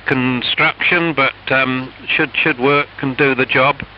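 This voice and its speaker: Ham radio guy rambling along, hesitant, dull muffled signal with some hiss. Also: mid-range voice. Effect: shortwave radio transmission. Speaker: amateur radio operator. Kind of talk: casual ham radio chat. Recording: noisy, hissy, muffled shortwave radio signal. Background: radio hiss and static.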